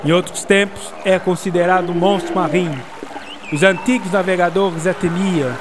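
A man playing an affable, learned gentleman writer in a radio drama, explaining things in a genial, formal way. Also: high voice, fairly even intonation, normal speed, background noise, close to an everyday voice. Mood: didactic.

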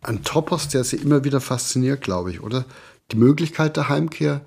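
A man's voice: medium pitch, expressive, fairly quick, vocal fry, a little mellow, breathy edge. A man working as an interviewer in a reflective literary conversation, putting his thoughts tentatively. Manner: tentative, musing questions.

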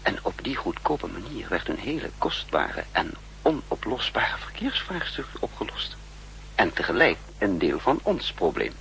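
An actor playing a decisive, practical spy leader in a 1960s radio play, giving orders and explanations in a commanding manner. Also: male voice, lowish voice, sing-song, normal speed, creaky, a bit bright, exaggerated voice. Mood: explanatory. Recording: noisy 1960s radio drama recording.

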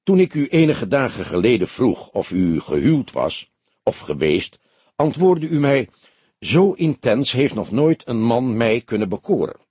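A husky old male detective, voiced by an audiobook reader, patient and probing. He speaks in measured questions with dry mockery and a lively, varied pitch, recorded close and dry. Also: dry recording. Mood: solemn. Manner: measured, probing, dryly mocking. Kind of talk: audiobook character dialogue.

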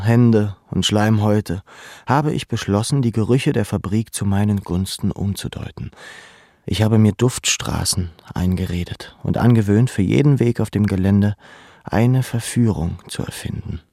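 Low gravelly watchful young prisoner, melancholy, introspective, dry close recording, radio drama. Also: male voice, darkish tone, dry recording. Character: young deported male labour camp prisoner, melancholy, watchful. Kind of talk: literary radio drama narration.

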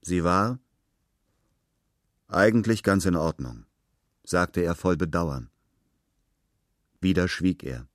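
A man's voice: low-pitched, slow pace, long pauses, dry recording, clean studio sound. A man reading literary prose as a narrator, in a scripted storytelling style.

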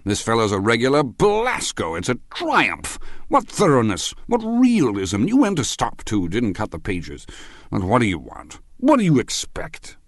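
A man's voice: fairly low pitch, expressive, normal speed, no room sound, clean studio sound, voice pushed up. A man voicing a stout, drunk middle-aged party guest in an audiobook, impetuous and emphatic as he rambles. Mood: triumphant, amazed. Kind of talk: audiobook character voice.

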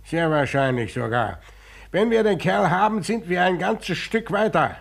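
An actor playing a curt, businesslike police commissioner in a 1950s radio drama, firing off impatient questions. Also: male voice, sits high, expressive, measured pace, creaky, heavily acted. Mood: brisk, probing. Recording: 1950s radio recording, faint hiss.

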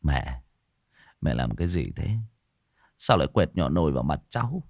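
A man's voice: very deep voice, very animated, normal speed, a little mellow, no room sound, clean studio sound, pitched below the performer's own voice, exaggerated voice. A man voicing a bewildered village son-in-law in an audio story, questioning with puzzled reproach.

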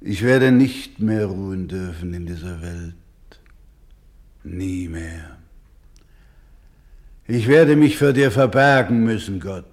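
An actor in a German radio drama playing an anguished, devout doctor who cares for orphans, speaking gravely, solemnly and pleadingly. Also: male voice, fairly low pitch. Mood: desperate prayer.

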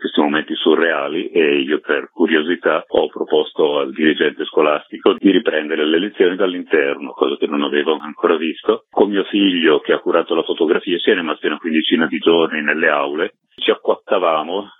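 An extremely deep-voiced man with a husky tone explains his work as a documentary director. He talks conversationally, as a radio guest on a narrow phone-like line. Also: steady tone, brisk, no echo.

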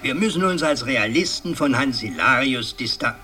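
Imperious old patriarch, wildly swooping delivery. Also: male voice, mid-range voice, expressive, bright, forceful tone, slight background noise. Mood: commanding, indignant. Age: older. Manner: declamatory, halting, swinging from commanding to muttering. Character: imperious old aristocratic patriarch, scheming, blustering, evasive. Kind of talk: 1960s German radio drama acting.

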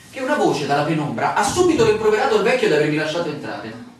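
Weary stranger, very echoey recording. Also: male voice, on the high side. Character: weary male stranger recounting his bewildering walk. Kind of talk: theatrical radio drama monologue. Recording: very echoey, distant, noisy radio play recording.

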